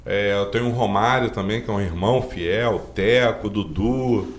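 A man's voice: lowish voice, normal speed. Earnest rambling man in his forties. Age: 40s. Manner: rambling, earnest, many filler tags. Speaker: guest, driving school owner. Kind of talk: podcast interview storytelling. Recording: roomy podcast room.

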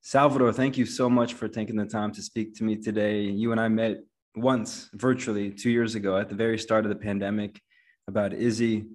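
A man's voice: on the low side, flat delivery, brisk. A man hosting a podcast, welcoming his guest and asking about his background in a casual, friendly way with frequent fillers. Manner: friendly, uses many fillers like "you know". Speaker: podcast host. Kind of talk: conversational interview.

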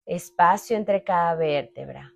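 A woman leading a guided meditation in a calm, unhurried way, speaking in short phrases with long pauses. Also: lowish voice, gravelly. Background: meditation music with sustained tones.

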